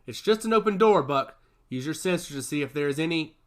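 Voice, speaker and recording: A man voicing a blunt male soldier who leads a squad, barking brisk orders in a storybook reading. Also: fairly high pitch, lively intonation, a bit bright. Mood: curt. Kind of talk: audiobook character voice.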